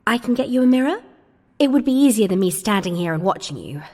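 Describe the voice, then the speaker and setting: A woman's voice: medium pitch, very animated, fast talker, a little mellow. A woman playing an earnest, incredulous new scheduling worker in a comedic audio drama, questioning emphatically. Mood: hesitant, practical. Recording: long reverberant hall sound.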